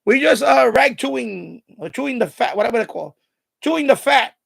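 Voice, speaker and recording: A man's voice: normal speed, gravelly. A man in his fifties with a heavy Bronx accent, chatting animatedly on his radio hobby live stream. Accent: Bronx New York. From born in Puerto Rico, raised in the Bronx. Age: 50s. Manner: animated, high intensity, worked up. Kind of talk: casual live stream chat.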